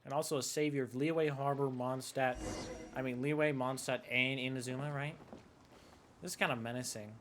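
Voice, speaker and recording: A man's voice: mid-range voice, fairly even intonation, normal speed, noisy background. A young man giving casual, joking commentary as he plays through a game, often sarcastic and flippant. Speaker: game streamer. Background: game music.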